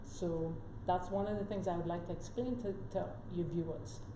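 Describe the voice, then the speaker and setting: A woman's voice: fairly low pitch, fairly even intonation, moderate pace, mellow. A woman from Trinidad, a guest expert on a talk show, explaining earnestly and step by step, often checking in with "right?". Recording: echoey room with faint noise.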